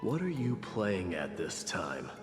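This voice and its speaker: A proud crown prince and warrior speaks with haughty, sardonic gruffness and a lively range of pitch. It is game cutscene acting, with music behind and a hall-like reverb. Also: male voice, takes it slowly, full character voice.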